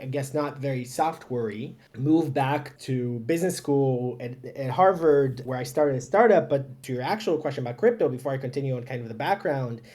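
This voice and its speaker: A man talks as a podcast guest, chatty and self-deprecating, with lots of fillers. His voice sits at a middle pitch. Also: darkish tone. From Israel. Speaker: startup founder, guest. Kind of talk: casual interview conversation.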